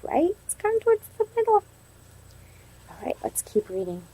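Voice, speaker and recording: A woman reading aloud to a class as a teacher, pausing to ask questions and affirm answers. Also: very high-pitched, huge pitch swings, warm tone, low-quality recording. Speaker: elementary teacher practicing a read-aloud lesson.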